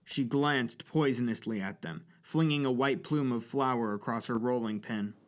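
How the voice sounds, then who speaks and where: A man's voice: mid-range voice, normal speed. A man narrating a scripted story for an audio drama.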